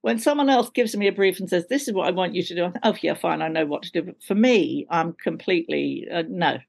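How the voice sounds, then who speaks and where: Casting: older woman, lowish voice with plenty of fry, wry and bantering in casual interview talk. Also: quick pace. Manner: wry, self-deprecating, bantering. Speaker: guest, actor. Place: at home on a video call.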